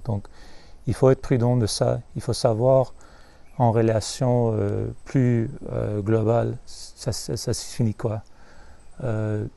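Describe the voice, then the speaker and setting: A middle-aged man from Montreal talks off the cuff at a wildlife sanctuary. His voice sits fairly low and sounds mellow. He speaks haltingly, full of filler sounds and self-corrections. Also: creaky. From Canada, Montreal. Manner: hesitant, many filler sounds and restarts. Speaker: sanctuary staff member. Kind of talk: unscripted video presentation. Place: at a chimpanzee sanctuary in West Africa.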